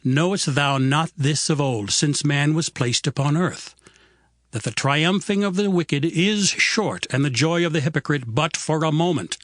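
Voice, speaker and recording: A man's voice: fairly high pitch, unhurried, a bit bright, no room sound, clean studio sound. An actor playing a stern, indignant man who condemns the wicked in a declamatory, admonishing scripture drama. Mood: indignant warning.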